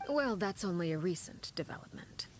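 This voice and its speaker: A woman's voice: on the low side, expressive, moderate pace, a little hiss or hum, close to an everyday voice. An actress playing a cool, enigmatic, commanding woman leader in a video game, composed, formal and guarded. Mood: composed, calculating. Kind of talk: video game character acting.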